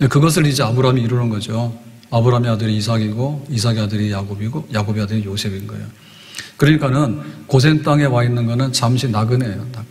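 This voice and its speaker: An older man preaches from a church pulpit into a podium microphone, his voice trailing long hall reverb. He explains step by step like a storyteller, often asking rhetorical questions. His pitch sits in an ordinary middle male range. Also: a little mellow. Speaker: pastor. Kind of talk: sermon.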